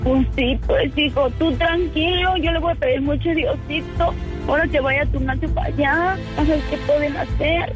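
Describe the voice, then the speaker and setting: A woman's voice: high-pitched, steady tone, moderate pace, music in the background. A woman, a mother crying on the phone, pleading and soothing, and repeating herself.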